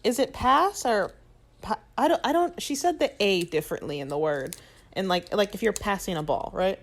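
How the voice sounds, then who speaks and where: A young woman co-hosting a podcast, telling stories in a casual, animated way and laughing often. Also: medium pitch, normal speed. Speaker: podcast co-host. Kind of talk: casual chat.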